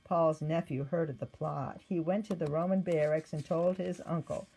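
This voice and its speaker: Woman, storybook narrator, lowish pitch, husky, expressive read-aloud. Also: moderate pace, low-quality recording. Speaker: storybook reader. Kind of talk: scripted narration of a children's Bible story.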